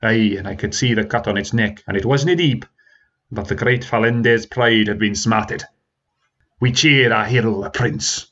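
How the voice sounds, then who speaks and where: A man voicing an old male warrior with a Scottish accent, telling a tale in a lively storytelling manner. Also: lowish voice, moderate pace, highs cut off. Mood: excited. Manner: lively storytelling with dialect words.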